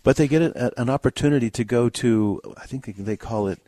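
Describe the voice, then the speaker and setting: A man co-hosting a casual radio film review, telling things in a folksy, halting way. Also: mid-range voice, dark tone, no echo. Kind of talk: casual radio movie chat. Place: dry radio studio.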